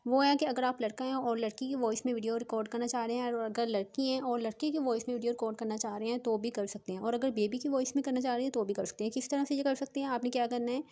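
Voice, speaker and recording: A woman's voice: on the high side, steady tone, quick pace, hardly any pauses, warm tone. A woman narrating a step-by-step app tutorial as the channel's host.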